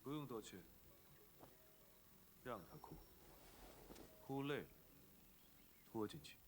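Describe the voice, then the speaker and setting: An actor playing a stoic, righteous young nobleman in an animated period drama, terse, formal and restrained. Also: slow pace, lightly acted. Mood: curt. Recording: noise and rumble behind.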